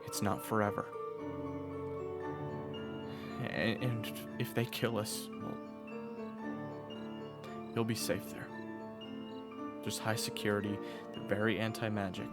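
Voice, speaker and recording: An actor playing an earnest, urgent teenage knight in an audio roleplay drama, protective and stubborn, with dramatic, emphatic delivery. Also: male voice, lowish voice, fairly even intonation, a little slow, pauses often, loud music behind the voice. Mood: earnest, tense. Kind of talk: audio roleplay drama with first-person narration.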